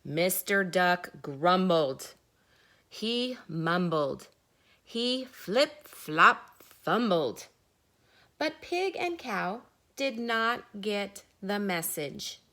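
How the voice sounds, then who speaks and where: Slow, very animated woman reading a story. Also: medium pitch. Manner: deliberate, dramatic pauses. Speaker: teacher. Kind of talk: animated children's story read-aloud.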